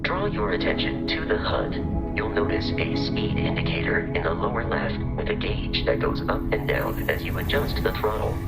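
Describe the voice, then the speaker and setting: Low-pitched, formal robot companion. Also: male voice. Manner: formal, precise, measured instructor. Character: polite, dutiful robot companion guiding a starship pilot. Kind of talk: scripted video game dialogue. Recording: game music behind the voice.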